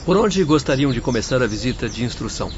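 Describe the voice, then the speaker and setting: A man's voice: mid-range voice, animated, fast talker, darkish tone, lightly acted. Actor as formal male doctor, birdsong behind. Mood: earnest. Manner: formal, explanatory. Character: earnest, courteous male doctor guiding visitors. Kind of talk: radio drama acting. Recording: dull lo-fi, outdoor birdsong noise.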